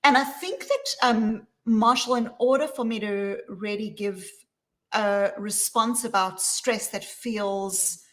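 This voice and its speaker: A woman with a South African accent, answering interview questions in an earnest, measured way. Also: fairly high pitch, fairly bright tone. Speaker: guest, psychologist.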